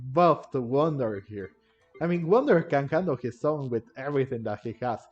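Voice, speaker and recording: A man's voice: mid-range voice, darkish tone. A man giving casual gameplay commentary, thinking aloud hesitantly and often repeating himself. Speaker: gaming video creator. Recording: dull, lo-fi microphone. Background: faint game music.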